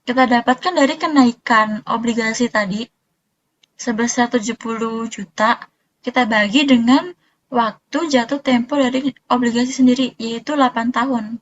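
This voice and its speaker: Higher-pitched young woman, muffled recording. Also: moderate pace. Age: young adult. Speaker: university student presenter. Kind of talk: explanatory accounting presentation.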